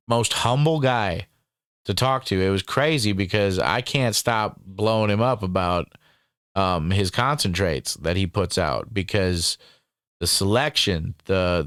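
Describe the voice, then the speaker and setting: A man's voice: on the low side, a bit bright, clear, resonant tone, no echo, clean studio sound. A man hosting a casual interview, rambling and swearing freely, with lots of fillers. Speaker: interviewer and channel host.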